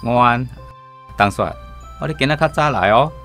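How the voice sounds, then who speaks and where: An actor with a Taiwanese accent playing a friendly middle-aged toll station chief in a radio drama, speaking in an easygoing, conversational way. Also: male voice, medium pitch, normal speed, a bit bright, soft background music, lightly acted. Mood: friendly, wistful.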